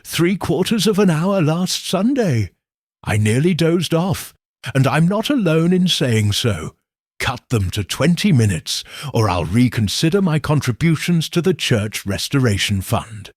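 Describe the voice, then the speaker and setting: A man plays a pompous retired diplomat in a storybook mystery, an older man used to command. He speaks curtly and imperiously, slow and deliberate, with a somewhat husky, dry-sounding voice. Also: a little high, big pitch swings, no echo, voice pushed up. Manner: curt, imperious.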